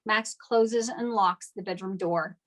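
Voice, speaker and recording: A woman's voice: mid-range voice, slow pace. Woman reading screenplay stage directions. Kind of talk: scripted narration for a table read.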